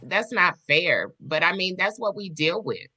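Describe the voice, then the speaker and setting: A woman in a casual podcast discussion who speaks in a measured way, asking questions and telling stories from work. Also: fairly low pitch, lively intonation, bright, forceful tone. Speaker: podcast panelist.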